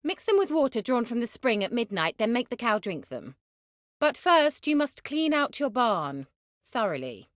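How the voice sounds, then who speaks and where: A haughty young sorceress from a fantasy game. Her speech is teasing and sardonic, with a very animated, expressive pitch. The sound is dry, with no room around it. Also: normal speed, dry recording. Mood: brisk, commanding. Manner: haughty, teasing, biting sarcasm. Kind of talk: video game character dialogue.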